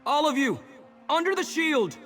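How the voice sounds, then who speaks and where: An actor playing a protective male mage shouting commands to his group in a fantasy video game. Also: very high-pitched, big pitch swings, normal speed. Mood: commanding. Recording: game music underneath.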